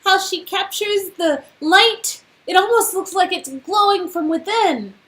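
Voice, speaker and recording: A woman's voice: very high voice, expressive, unhurried, fairly bright tone, voice pushed up, full character voice. A woman voicing an eager, sweet young woman painter who gushes about art in a story game. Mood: earnest.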